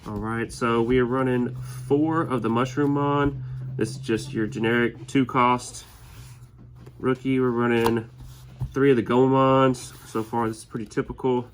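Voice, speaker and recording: A man's voice: medium pitch, steady tone, normal speed. A man with a slight head cold, sniffling a little as he casually walks through his winning card game deck with plenty of fillers and restarts. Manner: easygoing, many fillers and restarts. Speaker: tournament winner presenting his deck.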